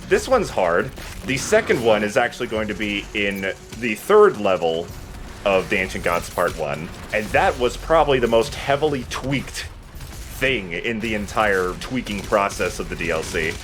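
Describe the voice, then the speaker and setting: Low-voiced, animated man doing game commentary. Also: slight background noise. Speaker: let's play host and player. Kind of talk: casual game commentary with friends.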